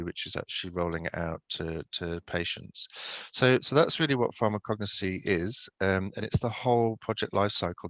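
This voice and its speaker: A man's voice: low voice, sharp tone, no room sound. A British man giving a webinar talk over a video call, explaining step by step with many ums and restarts. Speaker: guest speaker, pharmacognosist. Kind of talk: webinar presentation.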